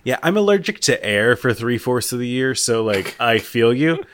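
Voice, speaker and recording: A man's voice: medium pitch, sing-song, normal speed, a bit bright, a little hiss or hum. A man co-hosting a podcast in casual comedic chat with a wry, dry sense of humor. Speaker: podcast co-host.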